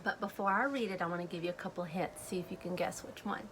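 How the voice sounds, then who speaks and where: A woman reading a storybook aloud to children, speaking in a deliberate, simple way. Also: lowish voice, a bit bright, slight background noise. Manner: deliberate, simple phrasing addressed to young children. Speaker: children's read-aloud host.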